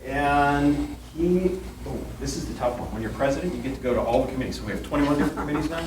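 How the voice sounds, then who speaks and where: A man's voice: on the high side, quick pace, distant mic. A man emceeing an event, introducing the panel with jokes and read-out lists. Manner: jokey, reads off lists. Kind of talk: introducing speakers. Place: meeting room. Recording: echoey room from afar, clear noise.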